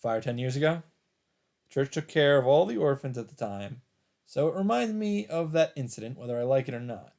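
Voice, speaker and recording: Man reading game narration aloud, mid-pitched. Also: lively intonation, a little fast, creaky, darkish tone. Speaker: let's play narrator.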